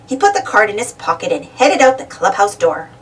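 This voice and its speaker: A woman reading a children's storybook aloud in an expressive, dramatic storytelling manner. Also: medium pitch, a little fast, far from the mic. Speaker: storybook reader. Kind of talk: animated children's read-aloud narration.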